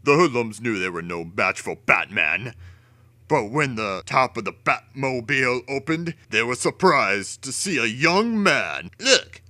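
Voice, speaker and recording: A man's voice: mid-range voice, lively intonation, unhurried, pushed and bright, no echo. A man giving a theatrical, over-emphatic dramatic reading of a children's storybook. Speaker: storybook reader.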